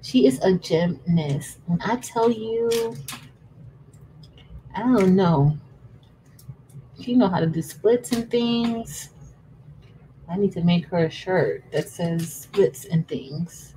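A woman's voice: fairly low pitch, slow, pauses often, dark tone, a little hiss or hum, rough recording. A woman in her thirties hosting a casual craft livestream from home, chatting with viewers while she works. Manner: chatty, addresses viewers as y'all, frequent laughs. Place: indoors at a home craft table.

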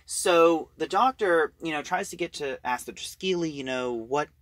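A man's voice: on the high side, moderate pace, a bit bright, dry recording. Man recapping a story, very dry sound. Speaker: audio drama reviewer.

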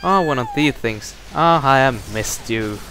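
A man's voice: medium pitch, animated, measured pace, pushed and bright, slight background noise, rough recording. A young man with a Norwegian accent, casually commenting on a video game he plays, with dry, sarcastic asides. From Norway. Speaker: gameplay commentator. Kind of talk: casual let's-play commentary.

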